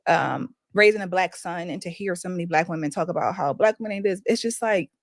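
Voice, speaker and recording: A woman guest in a casual group discussion who speaks in a calm, reflective, measured way. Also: on the low side, no echo, studio quality. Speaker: panel guest.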